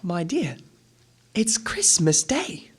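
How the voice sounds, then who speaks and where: A performer playing a kindly, humble family father, hesitant and gentle in a radio drama. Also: mid-range voice, very animated, slow, breathy edge, heavily acted. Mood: gentle reproach. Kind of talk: school radio drama acting.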